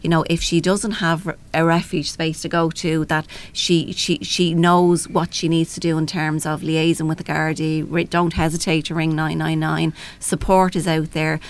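A woman's voice: low voice, flat delivery, moderate pace, few pauses. An Irish woman explaining things as a helpline representative in a panel discussion, often adding "you know".

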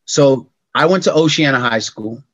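A middle-aged man from California telling stories in a casual interview, slangy and animated, acting out quotes in a raised voice. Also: mid-range voice, normal speed, dry recording, highs cut off. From California, United States. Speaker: podcast guest.

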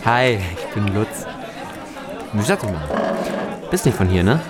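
Village man over loud crowd. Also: lowish voice, big pitch swings, unhurried, loud music behind the voice. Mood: friendly, awkward. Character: slow, friendly male village local. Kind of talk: German audio drama acting.

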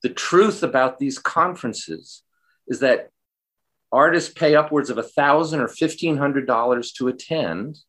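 A man's voice: medium pitch, a little slow, fairly bright tone. Emphatic man in a reflective interview. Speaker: interview guest, folksinger. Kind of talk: earnest conversational interview answers.

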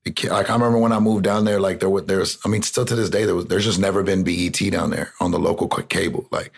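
Young South Texas guy, low husky rasp, rambling casual interview talk full of fillers. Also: steady tone, fast talker. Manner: rambling, heavy "like" fillers, swearing. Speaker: interview guest, rapper.

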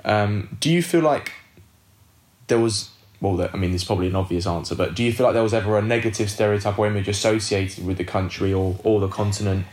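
A man's voice: fairly low pitch, fast, darkish tone, echoey room. A man from the United Kingdom hosting a podcast, asking long, careful questions and listening with frequent murmurs. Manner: attentive, frequent "mm" backchannels, long framed questions. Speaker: podcast host, interviewer. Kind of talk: interview questions.

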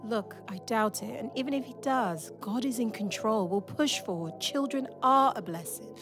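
A middle-aged mother played by an actress, steady, protective and reassuring, with a slightly high voice. It is audio drama with clear music underneath. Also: moderate pace, lightly acted. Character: calm, devoted, reassuring mother of grown daughter. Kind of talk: modern audio drama acting.

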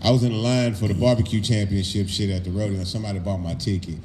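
A man's voice: fairly low pitch, steady tone, quick pace, a little mellow, roomy sound, a little hiss or hum. A middle-aged man hosting a podcast, talking in a laid-back, profane, storytelling way. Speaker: podcast host. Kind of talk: casual podcast chat.

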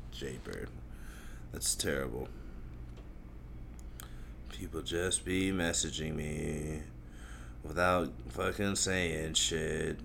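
A man's voice: extremely low pitch, drawn-out words, vocal fry, pauses often, a bit bright. A young man hosting a solo podcast, rambling in a crude, profane and self-mocking way that often loses its thread. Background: faint noise from outside.

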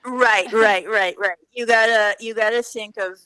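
A woman's voice: a little high, steady tone, a little slow, bright, forceful tone, smooth. A woman in her mid-forties, a podcast guest, chatting casually, agreeing a lot and searching for her words. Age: 40s. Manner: agreeable, repeats "right" and "yeah", searches for words. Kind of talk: casual chat.

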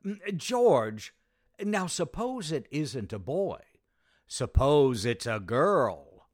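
An actor playing a sensible, wry best friend in an old-time radio sitcom, measured and dryly exasperated. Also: male voice, fairly high pitch, sing-song, heavily acted.

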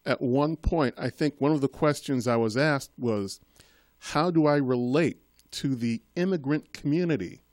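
A man's voice: mid-range voice, normal speed, darkish tone, no room sound. Man in his fifties, interview guest, earnest, rambling, dry close sound. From Boston, born in Trinidad. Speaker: guest, school committee member. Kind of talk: casual interview.

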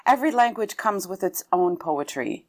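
A woman's voice: medium pitch, lively intonation, moderate pace. A Lebanon-born woman, an opera singer whose first language is Arabic, talking thoughtfully in an interview and often restarting her sentences. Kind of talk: conversational interview.

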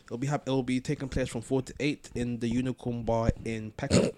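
A man hosting a casual podcast, excitable and slangy, often repeating words as he bantering with friends. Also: mid-range voice, a little fast. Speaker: podcast host.

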